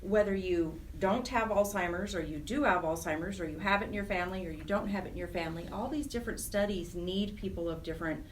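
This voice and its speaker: Low-voiced American woman, slightly distant. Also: fast, hardly any pauses. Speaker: interview guest, program director of a nonprofit chapter. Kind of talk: radio interview, explanatory talk. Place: radio show interview. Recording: distant mic with faint noise.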